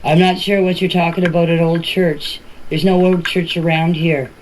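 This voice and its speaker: A woman's voice: on the low side, little pitch movement, moderate pace. An older woman with a Canadian Maritime accent speaks informally, answering questions and often repeating and rephrasing herself. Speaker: family member speaking about a case. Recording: noisy amateur voice clips with clicks.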